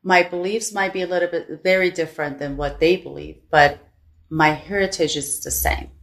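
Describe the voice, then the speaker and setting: A woman's voice: on the low side, fairly even intonation, moderate pace. A woman from Iran with a Persian accent, speaking as a guest in an earnest, impassioned way with many restarts. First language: Farsi. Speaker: salon owner and guest. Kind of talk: interview chat.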